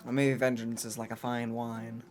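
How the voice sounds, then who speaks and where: Very low-voiced, wry young woman gamer. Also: little pitch movement, moderate pace, over music. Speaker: let's play co-host. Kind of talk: casual gaming banter.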